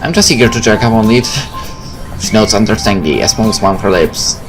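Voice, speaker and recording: Animated man narrating a visual novel. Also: fairly low pitch, fast talker, over music. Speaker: let's player. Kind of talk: reading visual novel narration and dialogue aloud, with casual asides.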